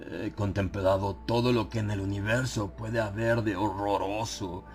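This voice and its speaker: A man narrating a dramatized horror audiobook in a grave, theatrical manner with dramatic pauses. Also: low-pitched. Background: background music.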